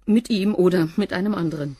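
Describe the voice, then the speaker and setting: A woman's voice: lowish voice, fairly quick, dark tone, breathy edge, no echo. An actress playing a blunt, guarded cleaning woman in a radio drama, answering in a dry, slightly defiant way. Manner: dry, curt, a bit defiant.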